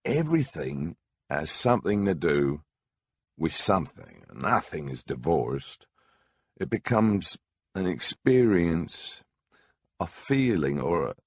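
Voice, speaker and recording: A man's voice: deep voice, dry recording, studio quality. A man narrating a first-person memoir audiobook in a wry, conversational storytelling manner.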